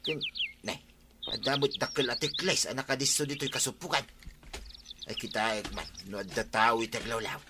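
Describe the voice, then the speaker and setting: Scheming village husband played by an actor: easygoing mutterer, medium-pitched, noisy animal-and-bird backdrop, comedy radio drama. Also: male voice, normal speed, lightly acted. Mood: sly muttering.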